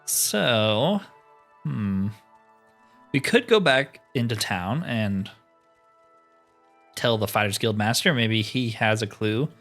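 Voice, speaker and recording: A man's voice: mid-range voice, fairly bright tone. Man musing through casual game commentary. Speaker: let's player narrating a roleplay playthrough. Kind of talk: casual gameplay commentary, thinking aloud.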